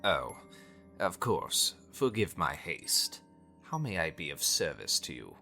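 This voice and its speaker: A man playing a grave, formal high cleric and mentor in a dark fantasy visual novel, with measured delivery. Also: very animated, creaky, full character voice. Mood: uneasy, deferential. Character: male vampire high cleric, grave mentor, deferential servant. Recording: music behind the voice.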